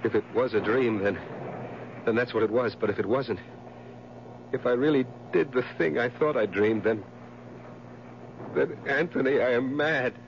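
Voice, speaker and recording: Haunted widower for vintage radio play, male actor: overwrought, halting, on the edge of madness, dull hummy sound. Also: medium pitch, close to an everyday voice. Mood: frantic confusion. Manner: overwrought, halting, brooding, theatrical. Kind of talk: 1970s radio drama acting. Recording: muffled, noisy 1970s radio broadcast with hum.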